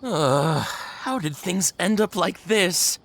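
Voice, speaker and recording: A man playing a flustered, anxious toy carver with a hesitant, halting manner in a video game. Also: high voice, animated, noisy background, heavily acted. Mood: worried. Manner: hesitant, halting, dramatic sighing worry. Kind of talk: animated video game dialogue.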